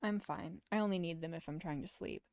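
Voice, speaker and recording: Lady voicing visual novel guy, awkward but nice, understated, soft dark tone, no room echo. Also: lowish voice, a little fast, smooth, close to an everyday voice. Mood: awkward, considerate. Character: awkward, considerate young man named Leon, visual novel protagonist.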